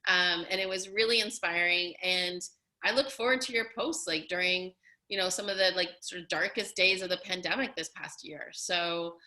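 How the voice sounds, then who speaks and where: A chatty woman hosting an interview, effusive and full of "you know" and "like". Her voice is bright and forceful but pitched on the low side for a woman, and she stays loose and conversational throughout. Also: steady tone, moderate pace. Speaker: host and coach.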